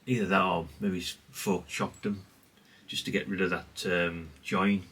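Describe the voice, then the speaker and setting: A man's voice: low voice, little pitch movement, a little slow, a bit bright, breathy edge, distant mic. A man giving a casual, rambling, understated show-and-tell, speaking off the cuff. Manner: rambling, self-effacing, understated. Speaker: hobbyist presenter.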